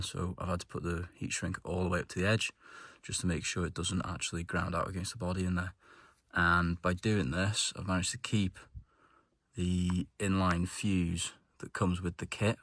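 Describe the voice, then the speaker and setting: A man's voice: deep voice, fairly bright tone, no room sound, clean studio sound. A man giving a casual hands-on how-to narration, informal and thinking aloud as he works like a DIY car presenter.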